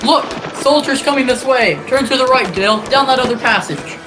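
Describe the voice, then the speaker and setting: A man's voice: very high-pitched, brisk, noisy background. A teenage male student actor playing a heroic, determined young space adventurer in old-time radio serial style. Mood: urgent, commanding. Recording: music behind the voice.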